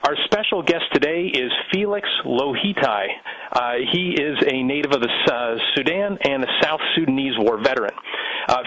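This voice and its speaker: Male interviewer and podcast host, medium pitch, introduces and questions guests. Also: a bit bright, low-quality recording.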